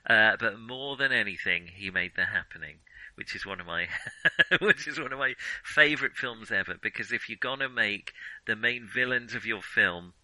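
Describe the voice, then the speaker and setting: Male podcast co-host, very bright forceful tone, lowish pitch, circling hesitant phrasing, casual film chat. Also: normal speed, no echo, rough recording.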